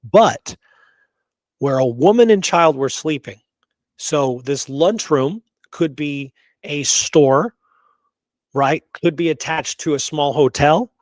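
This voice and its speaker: A man's voice: on the high side, takes it slowly, no room sound. A man hosting a podcast in a casual discussion of history, musing aloud with rhetorical questions and repeated phrases, and freely giving his opinions. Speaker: podcast host.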